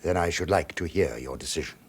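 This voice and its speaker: Very deep, suave criminal mastermind. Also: male voice, breathy edge. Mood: possessive. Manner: formal, measured, silkily controlled. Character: suave, menacing criminal mastermind, possessive and gloating. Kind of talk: radio drama acting.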